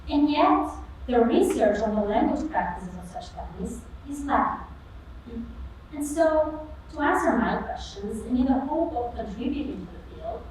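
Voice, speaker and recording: A young woman whose first language is Albanian presents her research on a stage in an earnest, practiced way, with her voice set a bit high. She sounds distant from the mic, and the hall gives her a strong echo over faint background noise. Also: darkish tone. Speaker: competition presenter. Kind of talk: rehearsed research talk. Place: auditorium stage.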